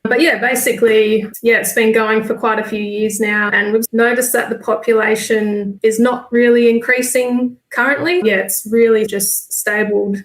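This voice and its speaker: A woman's voice: on the high side, steady tone, moderate pace, hardly any pauses. A woman with an Australian accent giving informal, explanatory answers in a casual livestream interview. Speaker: volunteer bird count coordinator. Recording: roomy, echoey sound.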